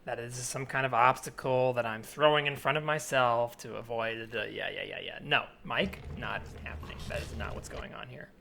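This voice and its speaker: A man improvising an earnest, obsessive man in his twenties in a naturalistic comedy scene, speaking haltingly with pleading intensity. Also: mid-range voice, fairly even intonation, creaky, sharp tone. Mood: defensive. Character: earnest obsessive 27-year-old man haunted by flip-flops. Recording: remote recording with added ambient soundscape noise.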